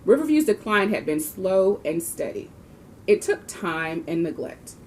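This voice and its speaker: A woman reads a children's storybook aloud in an expressive way, now and then correcting herself. Her pitch is mid-range for a woman. There is noticeable noise in the recording. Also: unhurried. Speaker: volunteer reader of a children's book.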